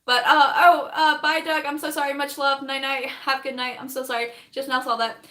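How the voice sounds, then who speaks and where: A young woman, chatting on a phone livestream while doing her makeup, rambling fast, laughing often and losing her voice. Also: high voice, flat delivery, pushed and bright, echoey room. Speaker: livestreaming vlogger.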